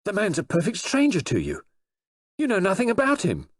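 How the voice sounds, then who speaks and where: Male narrator as jealous young clerk in audiobook drama: raised pitch, heated, pleading, swooping intonation. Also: huge pitch swings, fairly quick, full character voice. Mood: indignant anger.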